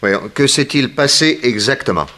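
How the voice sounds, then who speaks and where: A debonair male private detective in a sixties French radio serial. He speaks in an urbane, easygoing way, genial and unhurried, with polished period delivery. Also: medium pitch, animated, bright, forceful tone. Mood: sober. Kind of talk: 1960s French radio drama acting.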